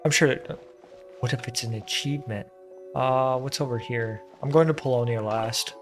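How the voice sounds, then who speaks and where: Woman gamer, very deep voice, casual commentary, thinking aloud, music underneath. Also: moderate pace. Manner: muttering to self while thinking. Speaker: gaming streamer.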